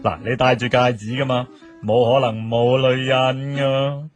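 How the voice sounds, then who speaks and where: A man's voice: mid-range voice, flat delivery, measured pace, muffled recording, lightly acted. A man with a Hong Kong Cantonese accent playing a presumptuous, know-it-all café customer in an audio drama, breezy and nosy. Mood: presumptuous, teasing. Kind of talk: acted audio drama dialogue. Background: faint guitar music.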